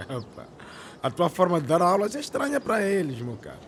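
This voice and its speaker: A man's voice: sits high, huge pitch swings, normal speed, a little hiss or hum. An actor playing a worldly, resigned senior teacher in a radio drama, familiar and a little patronising with his colleague. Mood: amused, advising.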